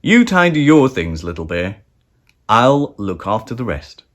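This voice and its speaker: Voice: mid-range voice, very animated, a little slow. A storybook reader voicing a big, kindly grown-up male bear in a patient, reassuring manner. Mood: patient, busy. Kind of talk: read-aloud storybook character voice.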